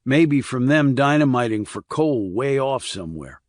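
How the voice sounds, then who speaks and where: A man's voice: mid-range voice, big pitch swings, moderate pace, no echo, studio quality, natural voice. Man voicing a weary, blunt small-town guy. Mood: reflective. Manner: clipped, colloquial, blunt. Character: weary, rough-edged small-town man with a drinking past.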